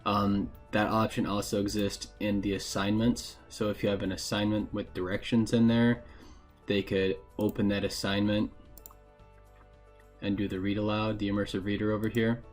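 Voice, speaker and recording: A man narrating a casual software tutorial in a plain, informal, explanatory way. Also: on the low side, little pitch movement, normal speed, faint music behind. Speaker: instructor giving a software tutorial.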